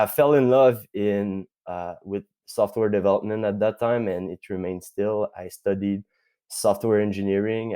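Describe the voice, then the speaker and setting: A young man from Quebec with a Quebec French accent, speaking as a guest on a tech podcast interview and pausing often with fillers. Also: lowish voice, dark tone, smooth. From Quebec, Canada. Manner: thoughtful, many filler pauses. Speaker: guest, tech company CTO.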